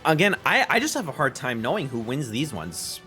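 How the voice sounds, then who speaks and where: A young man hosting a game stream, giving lively, excitable play-by-play and laughing often with his co-host. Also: medium pitch, fairly quick, soft background music. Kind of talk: casual game commentary.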